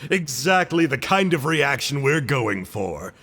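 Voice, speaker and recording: A man's voice: mid-range voice, very animated, a little slow, fairly bright tone, in a large hall, exaggerated voice. A man voicing a cheerful, cheeky male agent of a villainous order, mock-polite and teasing.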